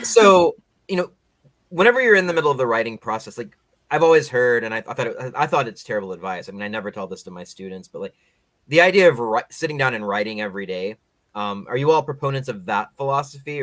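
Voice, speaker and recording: Low-voiced man hosting a casual interview. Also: a bit bright, dry recording. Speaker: podcast host interviewer.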